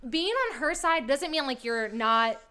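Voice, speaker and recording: A woman's voice: high voice, very animated, measured pace, sharp tone. An American woman chatting casually as a podcast guest, rambling, emphatic and a little self-deprecating. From St. Louis area, United States. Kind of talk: casual chat.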